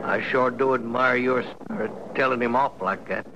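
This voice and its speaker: An actor playing a sly, folksy outlaw prisoner in a radio western, coaxing and menacing in a rural American accent. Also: male voice, mid-range voice, normal speed, highs cut off, natural voice. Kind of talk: old-time radio western acting. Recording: noisy vintage radio recording.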